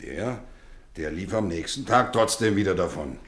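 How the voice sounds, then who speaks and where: A warm, strict boarding-school housemaster in a vintage radio play. He speaks low and lively, his pitch rising and falling, with a teasing kindness. A roomy studio echo hangs around his voice. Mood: storytelling. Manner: warm, measured, gently teasing. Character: kindly strict male boarding-school teacher, middle-aged. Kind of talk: 1950s radio drama acting.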